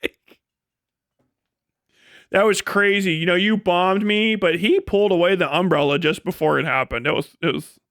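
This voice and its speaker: High-voiced man, sweary and incredulous. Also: normal speed, fairly bright tone, dry recording, clean studio sound. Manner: breaks often into laughter while talking. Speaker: live streamer. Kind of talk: casual rant and commentary to a stream audience.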